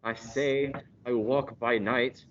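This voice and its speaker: A man's voice: medium pitch, fairly even intonation, slow pace, a bit bright. Pompous male radio-drama star coming unglued, played by a man, theatrical and repetitive, on a dull, noisy recording. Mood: defiant, grandiose. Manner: theatrical, pompous, repeats himself. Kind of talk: hammy radio-play acting.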